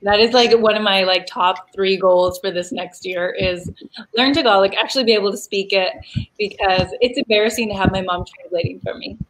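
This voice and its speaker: A woman's voice: mid-range voice, fairly even intonation, brisk, a bit bright, slight background noise, rough recording. A young woman from the United States, talking casually as an interview guest, chatty with many fillers and laughs.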